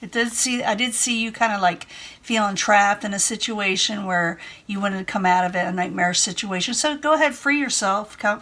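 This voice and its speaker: A woman talks through a tarot reading in a chatty, reassuring way and keeps repeating her points. Her voice is strained because she is losing it, and it sits at an ordinary middle pitch for a woman. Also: a bit bright. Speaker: tarot reader. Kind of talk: casual tarot reading to camera.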